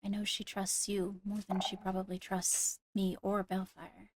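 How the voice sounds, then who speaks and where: Female, mid-pitched and gravelly, careful diplomatic phrasing, improvised roleplay conversation. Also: completely flat delivery, moderate pace, no echo. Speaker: roleplayer playing a court leader.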